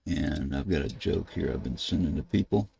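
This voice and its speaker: An older American man narrating a casual screen-share tutorial, thinking aloud in a halting way as he works at his computer. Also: a bass voice, vocal fry, dark tone, a little hiss or hum. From United States. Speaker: ham radio video host. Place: indoors at a computer desk.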